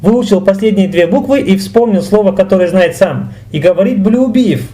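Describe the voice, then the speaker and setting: A man's voice: high voice, expressive, moderate pace, mellow. A man teaching in an explanatory video lesson, didactic and careful to enunciate each example. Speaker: language teacher.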